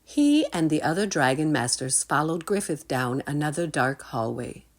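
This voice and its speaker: A woman's voice: low voice, very animated, normal speed. A woman reading a storybook aloud to children, expressively, speaking straight to her listeners. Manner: engaging listeners with asides.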